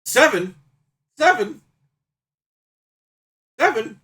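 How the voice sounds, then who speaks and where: A man's voice: extremely high pitch, big pitch swings, extremely slow, sharp tone. A man hosting a casual game livestream, chatting and bantering playfully with viewers as he reads out choices. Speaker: livestream host reading out game and chat choices. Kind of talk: casual stream chat and commentary on a visual novel.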